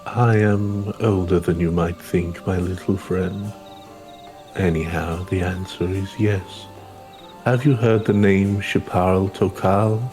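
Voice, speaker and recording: A man plays an ancient woodland elder from a fantasy game. The voice is deep and evenly pitched, gracious and wise, with measured archaic phrasing over faint music. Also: fairly even intonation, a little mellow. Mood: nostalgic, gracious. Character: ancient, gracious, wise woodland elder of long-lived rabbit-eared folk. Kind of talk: reading video game dialogue in character.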